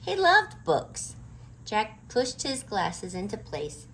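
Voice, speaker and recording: Unhurried, expressive woman reading children's stories. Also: medium pitch, breathy edge. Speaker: storytime host and reader. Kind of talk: children's storybook read-aloud.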